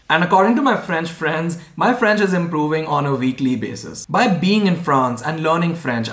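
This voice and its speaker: A young man talks straight to the camera, laying out numbered points in a clear, earnest explainer style. His voice sits a little high for a man. The small indoor room gives it a noticeable echo. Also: a bit bright. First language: English. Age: young adult. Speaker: vlogger. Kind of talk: informative talking-head vlog. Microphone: camera framed at chest level.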